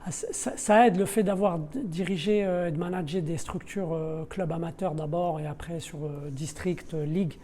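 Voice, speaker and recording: A man's voice: fairly high pitch, steady tone, moderate pace, few pauses, warm tone. A middle-aged man, not a native French speaker by birth, explaining his work in an interview, searching for his words and repeating them. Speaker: deputy sporting director of a football club. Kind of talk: podcast interview, spontaneous explanation.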